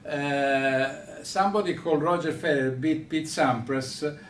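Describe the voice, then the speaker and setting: A man with an Italian accent hosts a sports chat in a fairly high voice. He speaks haltingly, often searching for the next word. The room echoes and he sits back from the microphone. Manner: hesitant, halting, searching for words. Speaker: host of a tennis radio show. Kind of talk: unscripted sports discussion with a guest. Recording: roomy, distant mic with background rumble.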